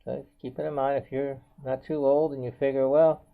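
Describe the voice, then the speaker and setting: Man in his seventies, American accent, casual rambling monologue, repetitive, mid pitch, thin band-limited audio. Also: little pitch movement, moderate pace, gravelly. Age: 70s. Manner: rambling, repetitive, often says "you know". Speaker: video blogger. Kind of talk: unscripted casual monologue to viewers. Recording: dull, band-limited home video audio.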